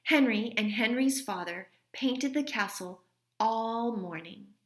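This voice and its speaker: A woman reading a children's storybook aloud in an expressive manner, like a teacher. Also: on the high side, takes it slowly, echoey room.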